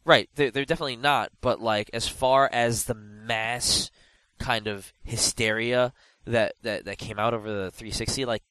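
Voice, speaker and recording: A man's voice: mid-range voice, normal speed, clear, resonant tone, no room sound. A man with a stuffy, blocked nose holds forth on a casual gaming podcast, circling back and restarting his sentences as he goes. His voice sits in an ordinary middle range. The sound is close and dry, with no room around it. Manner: animated, rambling with frequent restarts and fillers. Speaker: podcast co-host.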